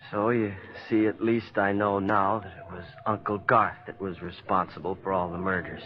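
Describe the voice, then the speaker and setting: A man's voice: sits low, fairly even intonation, moderate pace, lightly acted. An actor playing an earnest, haunted young man in 1940s radio drama style. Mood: relieved, reflective. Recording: dull 1940s radio recording.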